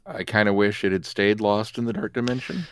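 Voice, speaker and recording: A man's voice: on the low side, fairly even intonation, a little fast, clear, resonant tone. A man chatting casually on a podcast panel with a wry, incredulous, emphatic way of talking. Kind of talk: casual chat.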